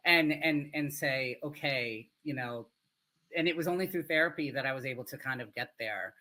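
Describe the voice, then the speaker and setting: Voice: medium pitch, normal speed, pushed and bright. An interviewer in a conversational interview, supportive and affirming, often adding short agreements. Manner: supportive, affirming, frequent backchannels.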